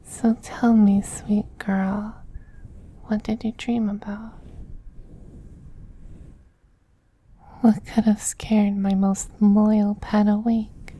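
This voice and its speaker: A man voicing a dominant but tender femboy vampire queen in a soft, slow, whispery ASMR roleplay, doting on a pet with condescension. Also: sits high, flat delivery. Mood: possessive, doting.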